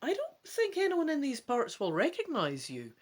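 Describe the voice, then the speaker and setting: A man's voice: extremely high pitch, huge pitch swings, moderate pace, voice pushed up, full character voice. A man voicing a stern, ancient female elf tutor who admonishes her pupil in a dramatic gamebook reading. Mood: teasing. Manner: sharp, hushed, admonishing. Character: stern two-hundred-year-old female elf tutor and bodyguard.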